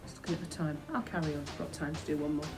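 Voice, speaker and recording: A woman reading a children's book aloud in an expressive, dramatic storytelling style. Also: deep voice, rapid-fire, music in the background. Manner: animated, dramatic. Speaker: storybook reader. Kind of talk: expressive children's audiobook narration.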